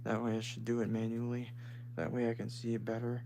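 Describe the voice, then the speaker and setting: A man with a deep voice, chatting casually and haltingly on a live stream from home, with frequent restarts. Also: completely flat delivery, dark tone. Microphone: phone. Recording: noisy phone stream with hum.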